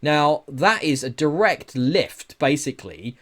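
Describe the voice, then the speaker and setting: A man in a casual podcast discussion, giving opinions in an animated, sweary way with rhetorical questions. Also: on the high side, unhurried. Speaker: podcast host.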